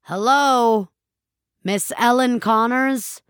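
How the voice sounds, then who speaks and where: A woman voicing a gruff, matter-of-fact male jail officer with a heavy voice, in an audio fiction story. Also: fairly high pitch, extremely slow, fairly bright tone, no room sound, studio quality, pitched above the performer's own voice. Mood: barking.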